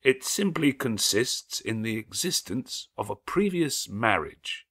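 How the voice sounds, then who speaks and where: A man voicing a resolute, formal gentleman in an audiobook, speaking distinctly, calmly and steadily as an accuser. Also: mid-range voice, husky, pitched above the performer's own voice, exaggerated voice. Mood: firm, calm. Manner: distinct, calm, steady, deliberate. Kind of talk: audiobook character acting.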